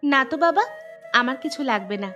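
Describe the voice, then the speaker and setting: A woman's voice: high-pitched, expressive, fairly quick, sharp tone, faint music behind. A woman playing a dutiful, affectionate young village daughter-in-law in an audio drama. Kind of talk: Bengali audio story drama acting.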